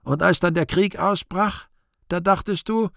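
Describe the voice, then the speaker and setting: Satan in a radio play, slow theatrical delivery, sly n gloating, lots of fry in the voice. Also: male voice, high-pitched, fairly even intonation, fairly bright tone, no echo, clean studio sound, full character voice. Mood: probing, scornful. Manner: slow, deliberate, theatrical declamation with drawn-out words. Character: the Devil, weary, gloating, sly and scornful interrogator.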